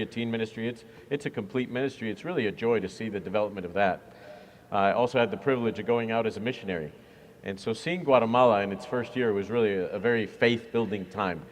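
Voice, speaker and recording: A man who is a church minister, talking earnestly and reflectively in an interview, with frequent fillers. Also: lowish voice. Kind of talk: conversational interview.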